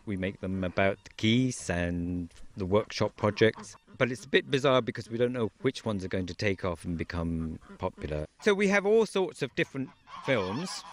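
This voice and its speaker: Easygoing lower-voiced man outdoors. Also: expressive, moderate pace, hardly any pauses. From Wales. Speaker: interviewee, farmer and beekeeper. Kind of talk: relaxed outdoor interview chat. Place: outdoors on a farm, in a horse-drawn carriage. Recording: faint outdoor noise, geese nearby.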